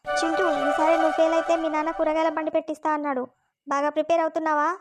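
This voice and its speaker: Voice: sits high, level tone, racing, lightly acted. A performer voicing a chatty, naive and bossy housewife and mother in a cartoon comedy skit. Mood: chatty, motherly. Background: music.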